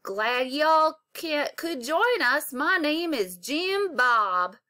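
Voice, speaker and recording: Woman acting as old country man, Southern accent, storybook character, high pitch, slow drawl. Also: lively intonation, bright, forceful tone, dry recording, clean studio sound, heavily acted. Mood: friendly greeting. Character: friendly old country man, straw-hatted market customer. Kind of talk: children's storybook read-aloud.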